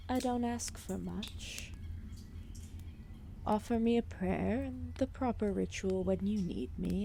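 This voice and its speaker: A woman voicing an ancient, lethargic serpent goddess, protective and calmly menacing, in a formal, soothing, intimate ASMR roleplay with whispered phrases. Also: mid-range voice, gravelly, slight background noise. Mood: wistful, gentle. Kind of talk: ASMR roleplay, intimate soft-spoken acting.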